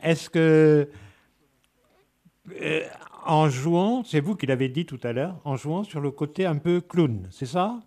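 A man with a fairly high voice conducts an informal interview. His questions come out in halting, reworded phrases, feeling their way forward. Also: warm tone.

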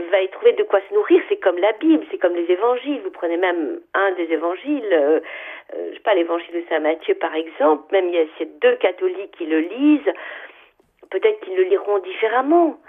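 A woman's voice: medium pitch, expressive, moderate pace, husky. A woman in her seventies from Algeria, a writer answering interview questions. She speaks in a thoughtful, hesitant way, with many fillers, and lists her ideas one after another. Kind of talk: radio interview, reflective answers. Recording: phone-line sound, narrow band.

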